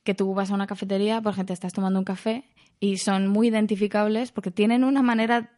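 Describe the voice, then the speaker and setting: A woman from Spain in a relaxed panel discussion, thinking aloud with pauses and restarts. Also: mid-range voice. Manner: thoughtful, many fillers and restarts. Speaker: podcast panelist. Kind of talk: casual reflective discussion.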